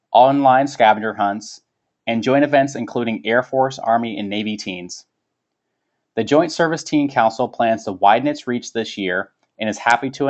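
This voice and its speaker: A man reading a formal scripted webinar presentation as its presenter. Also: medium pitch. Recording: dull lo-fi webinar audio.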